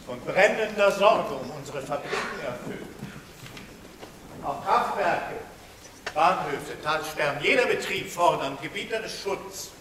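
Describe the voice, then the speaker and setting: Slow, high-voiced solemn pontiff, echoing. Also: male voice, lively intonation, a bit bright. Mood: grave, measured. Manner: stately, slow, declamatory. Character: solemn pontiff intoning in the royal we. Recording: very echoey, distant, hissy old stage recording.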